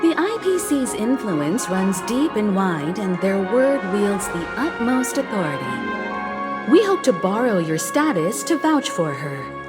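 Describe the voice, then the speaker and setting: An actress playing a poised, shrewd young navigator in a video game, speaking with elegant, persuasive courtesy. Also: female voice, mid-range voice, sing-song, a little slow. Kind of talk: scripted video game dialogue. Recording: music behind the voice.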